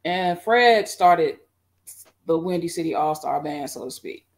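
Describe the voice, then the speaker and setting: Woman, middle-aged, low voice, rambling, video call, home room. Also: a little slow. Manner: relaxed, rambling storytelling with fillers. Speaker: guest band musician. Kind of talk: casual interview.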